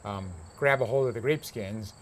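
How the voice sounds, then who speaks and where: A man's voice: fairly low pitch, moderate pace. American man explaining, faint insects behind. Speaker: grape grower and winemaker being interviewed. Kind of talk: casual explanatory interview talk. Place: outdoor covered porch beside a pond. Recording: faint outdoor insect noise.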